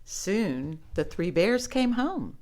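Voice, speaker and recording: A woman reading stories aloud to children in an expressive, conversational way, as if talking directly to young listeners. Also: medium pitch, takes it slowly. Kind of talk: read-aloud storytelling with chatty commentary.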